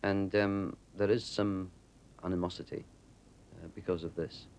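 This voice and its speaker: A man's voice: low-pitched, level tone, measured pace, a little mellow, a little hiss or hum. A man who teaches at a school, speaking thoughtfully and hesitantly in a documentary interview.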